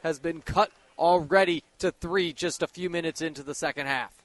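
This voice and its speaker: Higher-voiced man giving basketball color commentary. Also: normal speed, fairly bright tone. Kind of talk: live radio sports analysis.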